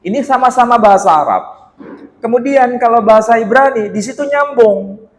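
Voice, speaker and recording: An Indonesian man giving a religious lecture in a mosque, animated and teasing, with rhetorical questions. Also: extremely high pitch. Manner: chants Quran verses in a slow melodic recitation. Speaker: Islamic lecturer. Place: mosque hall. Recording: echoey mosque hall.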